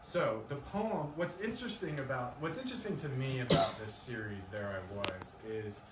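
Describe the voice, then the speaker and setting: A man's voice: medium pitch, lively intonation, normal speed. A man speaking live at a poetry reading, as a poet presenting his own work. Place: live event in a room with an audience. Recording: distant mic, echoey room, loud noise.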